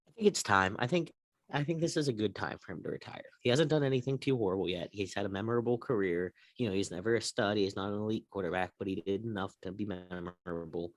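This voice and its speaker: A man with a lowish voice talks quickly in casual sports-show banter. He tells stories with a dry, snarky edge, and the sound is dry with little room in it. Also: no echo.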